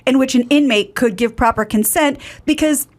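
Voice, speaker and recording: A woman's voice: high voice, moderate pace. A woman reporting news on a podcast in a studio, reading items with chatty asides on a studio mic. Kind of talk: casual news segment. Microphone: studio mic with headphones.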